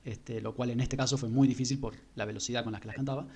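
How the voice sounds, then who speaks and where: Male co-host, warm mellow tone, thoughtful steady delivery. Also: mid-range voice, slightly rough. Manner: measured, reflective, some restarts. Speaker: podcast co-host reviewing an album. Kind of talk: casual spoken album review.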